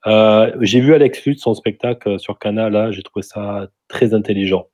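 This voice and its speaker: A man's voice: fairly low pitch, fairly even intonation, moderate pace, mellow. A man talking easily as a guest on a radio show. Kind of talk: radio chat.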